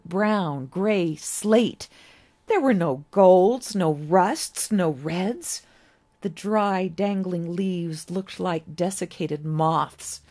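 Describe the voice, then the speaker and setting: A woman reading literary fiction aloud as an author would, with an expressive, dramatic storybook delivery and deliberate pauses. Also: fairly low pitch. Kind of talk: expressive scripted story narration.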